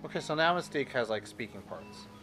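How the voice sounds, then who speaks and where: A man giving casual, slangy reaction commentary in an excitable, joking way. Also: medium pitch, quick pace, faint music behind. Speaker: reaction show host.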